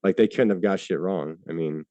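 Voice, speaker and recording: A low-pitched man speaking in a debate, loose and informal. He stumbles through answers with frequent fillers and restarts and swears offhandedly. The recording is dry and close. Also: a little fast, dark tone, dry recording, muffled recording. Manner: hesitant, many fillers and restarts, casual swearing. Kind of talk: live debate.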